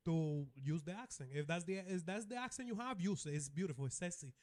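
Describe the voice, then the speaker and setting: A man's voice: a little high, expressive, quick pace, darkish tone. A man from the Dominican Republic with a Dominican accent, a comedian guest chatting brashly and profanely, cracking jokes. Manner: brash, profane, jokey, switches into playful voices. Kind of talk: casual podcast chat.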